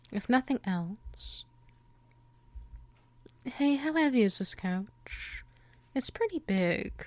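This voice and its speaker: Woman performing female vampire for ASMR roleplay: unhurried, dry close sound, coy and politely possessive. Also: fairly high pitch, no echo, heavily acted. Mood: playful, showing off. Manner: coaxing, chatty, teasingly polite. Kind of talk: ASMR roleplay, addressing the listener.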